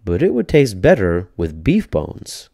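A hungry young man from a children's tale, played by a male reader. He is clever and persuasive, with animated, swinging intonation. The delivery is upbeat and unhurried. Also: low voice, vocal fry, slightly rough, no room sound, clean studio sound, exaggerated voice. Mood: coaxing.

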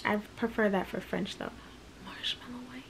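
A woman's voice: fairly high pitch, steady tone, normal speed, creaky, in a whisper. A young woman in her twenties talking candidly to the camera in a small bedroom, rambling casually with plenty of fillers. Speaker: vlogger. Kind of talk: casual vlog talk to camera. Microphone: camera close at arm's length.

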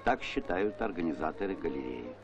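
A man's voice: deep voice, very animated, brisk. A man delivering scripted documentary narration on an old film soundtrack. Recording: dull vintage film soundtrack with music. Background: music.

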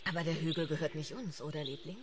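A woman's voice: low-pitched, fast, heavy background noise, lightly acted. An actress playing an engaged, questioning young wife and mother in a radio play. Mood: curious. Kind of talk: German radio play acting.

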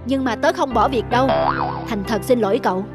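A man's voice: extremely high pitch, a little fast, over music. A man voicing a dedicated, modest doctor in a cartoon, earnest and apologetic but unbending. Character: dedicated, modest male hospital doctor, gently stubborn. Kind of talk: cartoon dub.

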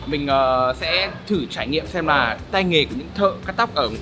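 A man's voice: a little high, animated, moderate pace, background noise. A man, chatting casually to camera in a vlog with plenty of fillers. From northern Vietnam. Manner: chatty, upbeat, filler-heavy. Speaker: vlogger. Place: barbershop and car at night.

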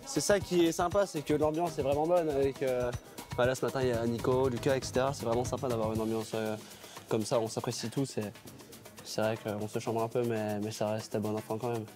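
A man's voice: mid-range voice, steady tone, brisk. A man in his twenties, a tennis player, talking casually in a sports hall. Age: 20s. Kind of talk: casual chat. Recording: music over the voice.

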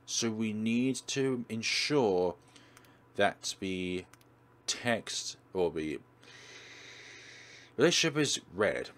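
Low-voiced man guiding through a game. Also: expressive, drawn-out words, pauses often, fairly bright tone. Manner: instructional, step by step. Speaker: let's play host. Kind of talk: game walkthrough commentary.

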